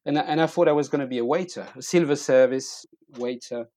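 A middle-aged man with a French accent telling personal stories in casual conversation, haltingly, often repeating words as he searches for them. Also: mid-range voice, mellow, dry recording, studio quality. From eastern France. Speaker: interview guest.

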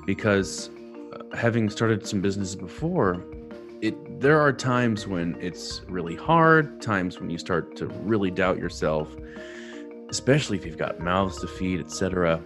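A man's voice: low voice, lively intonation, unhurried, music in the background. A man hosting a casual interview, asking conversational questions and sharing encouraging thoughts. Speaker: podcast host.

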